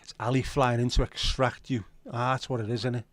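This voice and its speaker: A man's voice: mid-range voice, little pitch movement, a little fast, no room sound. A middle-aged man from Liverpool with a Scouse accent, chatting casually and jokily on a livestream as host, swearing freely as he answers viewers. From Liverpool, England. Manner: banter-filled, sweary, jokey. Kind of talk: casual livestream chat reading viewer comments.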